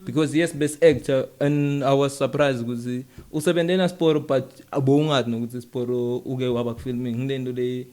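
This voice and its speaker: A man's voice: medium pitch, fairly even intonation, brisk, hardly any pauses, darkish tone. South African man, casual podcast interviewer. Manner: informal, switches between English and a South African language.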